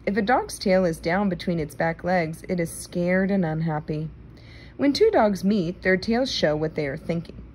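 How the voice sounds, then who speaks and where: A woman reading a children's nonfiction book aloud in a careful, deliberate manner. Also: fairly low pitch, a little mellow, a little hiss or hum. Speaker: read-aloud reader.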